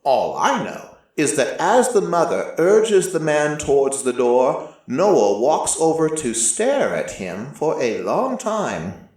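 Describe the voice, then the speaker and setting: A man narrating dramatic first-person fiction in a deliberate, theatrical storytelling manner. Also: fairly high pitch, sing-song, a little mellow. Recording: very echoey, distant from mic.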